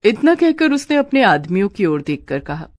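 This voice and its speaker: Animated female storyteller. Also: medium pitch, moderate pace, dry recording, studio quality. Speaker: narrator. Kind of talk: scripted storytelling narration.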